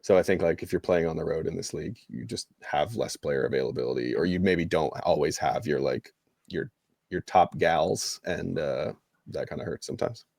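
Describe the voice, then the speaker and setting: A man's voice: extremely low pitch, moderate pace, creaky, a little mellow, no room sound, clean studio sound. A man co-hosting a sports podcast in casual conversation, hedging his points and leaning on "like" as he talks. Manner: hedging, conversational, heavy use of "like". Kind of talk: casual soccer commentary chat.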